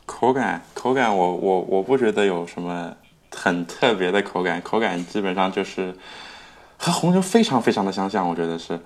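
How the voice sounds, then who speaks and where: A man's voice: low-pitched, expressive, normal speed. A man giving his views as a co-host in a casual motorsport podcast discussion.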